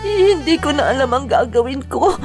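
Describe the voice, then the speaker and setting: A woman playing a grieving mother working abroad, speaking with slow, heartfelt dramatic delivery. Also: high-pitched, expressive, darkish tone. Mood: despairing. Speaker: overseas domestic worker. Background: faint guitar music.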